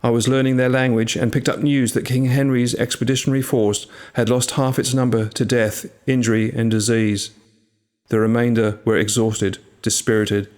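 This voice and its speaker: A man reading a scripted first-person tale in a measured, literary storytelling manner. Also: mid-range voice, a little mellow. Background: music.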